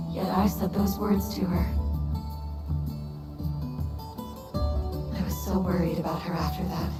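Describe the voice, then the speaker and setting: A woman playing a thoughtful, sorrowful mentor in a story game, speaking in an earnest, reflective and measured way. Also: mid-range voice, reverberant, lightly acted. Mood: remorseful. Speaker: voice actor. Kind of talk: dramatic game voice acting.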